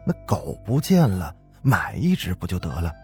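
Guy playing a bossy rich master in a spooky story, curt n scolding, pitch jumps around. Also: huge pitch swings, normal speed, breathy edge, faint music behind, full character voice. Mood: dismissive. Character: impatient, imperious wealthy old master of a household.

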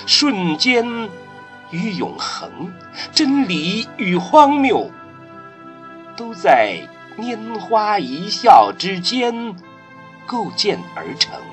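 A man giving solemn, dramatic scripted narration for a radio drama. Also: high voice. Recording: prominent music behind the voice. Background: music.

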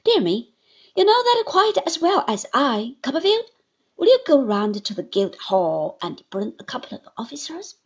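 Calm young lawyer in a storybook reading, firm and measured, put on by a woman narrator. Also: high voice, lo-fi sound.

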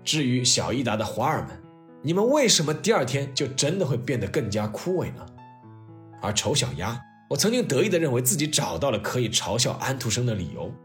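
A man hosting a history storytelling podcast, reading scripted narration in an expressive, reflective way with rhetorical questions. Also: mid-range voice, brisk. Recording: music playing behind the voice. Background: soft piano and orchestral music.

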